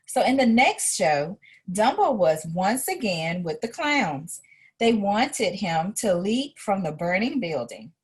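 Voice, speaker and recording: Woman, storybook read-aloud, slow pace, lively pitch, slightly husky voice, expressive. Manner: expressive, with asides to a child listener. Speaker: art educator reading a story aloud.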